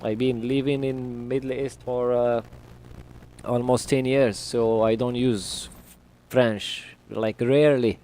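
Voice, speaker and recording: A man with English as his third language, live-streaming his trading and talking through it slowly with many fillers at a home desk. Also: medium pitch, flat delivery, dark tone. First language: not English. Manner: slow, hesitant, many fillers.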